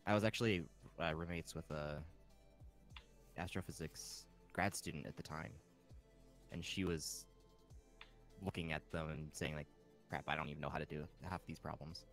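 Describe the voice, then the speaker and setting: Male gamer, very low but bright voice, halting casual delivery over music. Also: steady tone. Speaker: player in an online game session. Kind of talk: casual chat while gaming.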